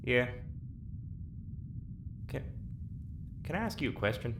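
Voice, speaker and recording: An actor playing a quiet, resigned, technically minded prisoner in an audio drama, who speaks hesitantly and rambles into detail. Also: mid-range voice, sing-song, slow pace, creaky, noisy background, full character voice. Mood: tentative.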